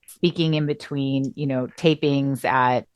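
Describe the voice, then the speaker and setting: Low-voiced woman telling stories in casual chat. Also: unhurried, smooth, no room sound. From Southern California. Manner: expressive storyteller, acts out quotes. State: recovering voice, coughing. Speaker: podcast co-host.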